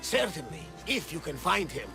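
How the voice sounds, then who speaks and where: Casting: a scarred, jovial second-in-command at sea for a game, rough creaky voice pitched high. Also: male voice, sing-song, normal speed, over music. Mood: wry, storytelling. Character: hearty, battle-scarred one-eyed sailor lieutenant, loyal and celebratory. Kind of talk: cinematic video game acting.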